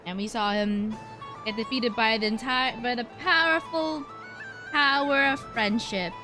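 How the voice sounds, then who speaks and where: A woman's voice: on the high side, measured pace, low-quality recording. A woman giving casual, rambling live commentary as she plays a video game, chatty and slangy. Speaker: streamer playing a video game. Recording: game music behind voice.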